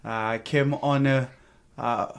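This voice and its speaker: A man's voice: mid-range voice, fairly even intonation, takes it slowly, vocal fry, smooth. A man in his thirties from Zimbabwe, with a Zimbabwean accent, talking earnestly and gratefully as a guest on a podcast. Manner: earnest, grateful, joking at times. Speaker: sales team guest. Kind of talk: casual podcast interview.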